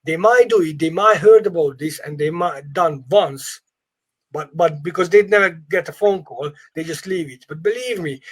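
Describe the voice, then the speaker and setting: Husky higher-pitched man, non-native English. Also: low-quality recording. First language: not English. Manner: emphatic, encouraging, repeats phrases. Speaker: property investment coach. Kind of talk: informal live training session.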